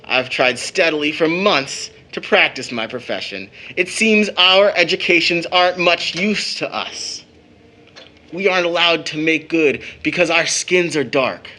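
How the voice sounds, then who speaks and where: Young uncle in an audio drama, kinda high bright voice, formal, takes his time. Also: unhurried. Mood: bitter, earnest. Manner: theatrical, mock-formal. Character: playful, teasing young uncle, mock-pompous, proud, later embittered.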